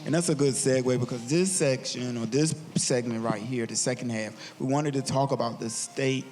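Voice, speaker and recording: A man hosting and moderating a live podcast panel in a theatre, talking in an animated, encouraging way. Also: mid-range voice, normal speed. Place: theatre stage.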